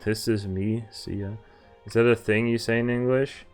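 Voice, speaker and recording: A man's voice: lowish voice, steady tone, normal speed, clean tone. A man streaming a visual novel, reading the text aloud and adding casual commentary. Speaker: game streamer. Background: faint game music.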